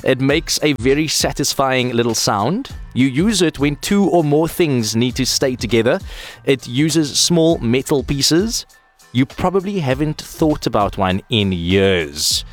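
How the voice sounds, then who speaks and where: A man's voice: medium pitch, lively intonation, unhurried, soft background music. A man hosting a morning radio show, chatty and friendly with callers in a studio. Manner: friendly, chatty, lots of fillers. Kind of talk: upbeat radio call-in banter.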